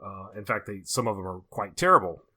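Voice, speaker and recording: A man with a slightly low, bright and forceful voice. He talks casually as a podcast co-host, opinionated about films and leaning on his points by restating them. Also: animated, a little fast. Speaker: horror movie podcast co-host. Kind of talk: casual opinionated film discussion.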